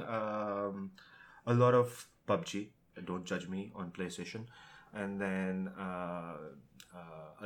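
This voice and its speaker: A man with a low-pitched voice co-hosts a tech podcast. He speaks in a casual, conversational way, pausing to think aloud as he goes. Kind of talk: casual tech and gaming discussion.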